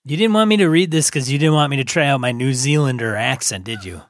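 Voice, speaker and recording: A man's voice: medium pitch, fast, no echo, clean studio sound. A North American man co-hosting a podcast, talking casually in a blunt, slangy, informal way. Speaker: podcast co-host. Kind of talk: casual discussion.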